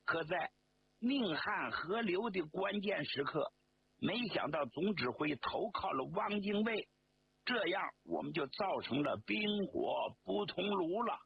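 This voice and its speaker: A man's voice: fairly high pitch, animated, slow. A man voicing a courteous but resolute regimental commander who speaks formally and persuasively in a told war story. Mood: firm. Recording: dull lo-fi sound, faint noise.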